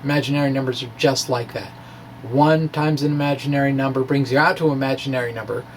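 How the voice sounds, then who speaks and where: A man giving an informal science explanation in a halting way, as if thinking aloud. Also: mid-range voice, fairly even intonation, slight background noise, low-quality recording. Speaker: amateur scientist presenting his blog.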